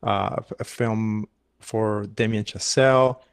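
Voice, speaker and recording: A man's voice: fairly low pitch, steady tone, takes it slowly, vocal fry, smooth, no echo, clean studio sound. A man from Cuba in his thirties, a filmmaker guest on a podcast, telling his story in an earnest, enthusiastic way. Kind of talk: casual interview storytelling.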